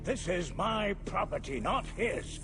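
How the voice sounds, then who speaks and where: A man's voice: high voice, sing-song, measured pace, over music, full character voice. A man playing a stubborn, scornful old property owner in a video game, defiant and scoffing.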